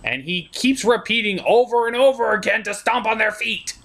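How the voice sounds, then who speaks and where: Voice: medium pitch, moderate pace, a bit bright. A puppet show film reviewer giving a partly scripted comedic review in a crude, mock-exasperated ranting manner.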